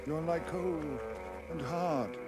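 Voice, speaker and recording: A man's voice: on the high side, takes it slowly, over loud music. A man playing a gloomy, pessimistic marsh creature in an audio drama, speaking lugubriously as if expecting the worst. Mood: glum, resigned. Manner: lugubrious, always predicting the worst.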